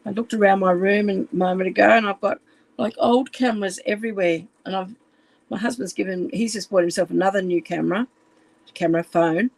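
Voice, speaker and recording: A woman with a croaky voice, chatting casually and apologetically on a home live stream while fixing her phone camera. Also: medium pitch, fairly even intonation, fairly quick, no echo, poor audio. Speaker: live stream craft demonstrator. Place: room at home.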